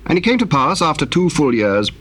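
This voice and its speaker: A man narrating a dramatized Bible, telling the story solemnly in measured, archaic English. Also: fairly high pitch, big pitch swings, fairly bright tone, slight background noise.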